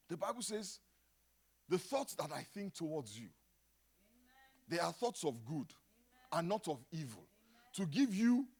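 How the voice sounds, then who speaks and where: A middle-aged Nigerian man preaching a sermon, dropping to a hush and then rising to loud exclamations. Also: sits high. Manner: dramatic, swings from hushed to shouting. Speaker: pastor.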